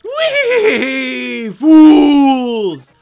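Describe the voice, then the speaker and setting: A man voicing a gleeful, taunting evil curse spirit from a video game, theatrical and cackling, with drawn-out insults. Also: very high voice, huge pitch swings, creaky, a little mellow, faint music behind, pitched above the performer's own voice, exaggerated voice. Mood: mocking glee. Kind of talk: exaggerated video game villain voice-over.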